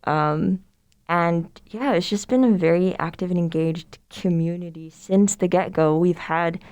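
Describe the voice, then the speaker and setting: A woman's voice: on the low side, a little slow, smooth. A young woman from Somalia, a student club president, talking casually and at length as a podcast guest. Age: young adult. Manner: relaxed, friendly, explains at length with fillers. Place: room with others.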